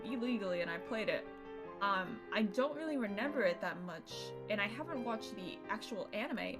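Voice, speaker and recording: A young woman livestreamer chatting casually and informally to her viewers, swearing freely as she goes. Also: fairly high pitch. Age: young adult. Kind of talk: casual chat to stream viewers while setting up a game. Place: indoors at home computer setup. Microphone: streaming mic with noise gate.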